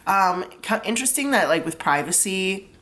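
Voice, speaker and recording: A woman's voice: medium pitch, lively intonation, moderate pace, fairly bright tone. A young woman with a stuffed-up nose from a cold, chatting casually through a tarot reading and explaining each pull with lots of "like". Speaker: tarot reader.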